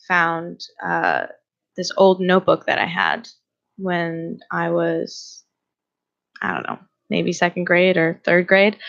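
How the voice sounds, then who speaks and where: A woman's voice: lowish voice, fairly bright tone. A woman in her twenties whose first language is Greek, chatting as a musician guest on a radio show, laughing often and using a lot of ums. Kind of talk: casual interview chat. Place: small radio studio in a church. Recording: slightly dull lo-fi radio sound.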